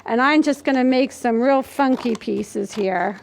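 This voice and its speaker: A woman's voice: a little high, measured pace, gravelly, slight background noise. A woman in her sixties hosting a children's storytime, explaining things to young children in simple steps as she demonstrates. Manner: simple, step-by-step, demonstrating while speaking. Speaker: children's library storytime host. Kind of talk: instructional talk to young children. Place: indoors, small plain room. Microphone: camera a few feet away.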